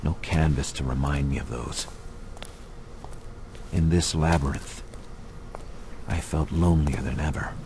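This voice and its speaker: A hushed, brooding lost narrator. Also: male voice, extremely low pitch, steady tone, a little hiss or hum. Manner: hushed, half-whispered, slow and brooding. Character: brooding amnesiac man narrating a noir ghost story. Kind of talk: game voice-over narration. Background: music.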